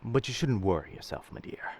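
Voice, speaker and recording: A man playing a dangerous but gentle pirate captain in an intimate roleplay audio drama, protective and commanding, with old-fashioned seafaring speech. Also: on the low side, expressive, a little fast. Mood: amused, reassuring.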